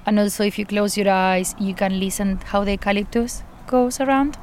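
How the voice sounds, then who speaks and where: A woman's voice: medium pitch, moderate pace, darkish tone, a little hiss or hum. A woman from Argentina with an Argentine Spanish accent, a garden coordinator telling her story in an outdoor interview, earnestly and with light laughs. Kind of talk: interview, personal account.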